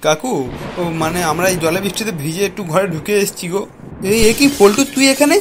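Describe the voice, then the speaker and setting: A man's voice: sits high, animated, fast talker, background noise. A man voicing a bossy, combative young cartoon fellow, coarse and blustering. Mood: shocked. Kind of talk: comic cartoon dialogue.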